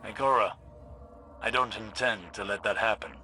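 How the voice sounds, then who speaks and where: An actor playing a sceptical, probing mentor in a video game, with a dry, pointed and formal delivery. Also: male voice, on the low side, moderate pace, over music, natural voice. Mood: sober, determined. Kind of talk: video game cutscene acting.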